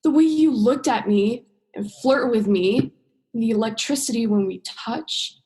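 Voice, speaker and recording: A woman's voice: a little high, measured pace, mellow, natural voice. A young woman playing an earnest, exasperated human heroine in a radio play, with a direct, emotional delivery. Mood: hurt, pressing. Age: young adult. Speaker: drama student actor.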